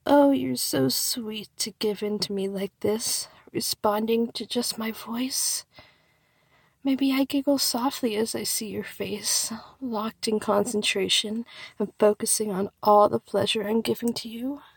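A woman delivers an intimate, seductive erotic monologue close to the microphone, breathy and husky, moving between soft murmurs and whispers. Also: fairly high pitch, fairly even intonation, a little slow, no room sound. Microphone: close microphone, dry.

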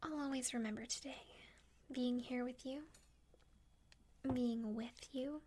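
A woman speaking in an intimate, affectionate roleplay style to a partner, breathy and often dropping into a whisper. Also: a little high, steady tone, unhurried, dark tone, a little hiss or hum. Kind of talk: intimate erotic audio roleplay, addressing a partner.

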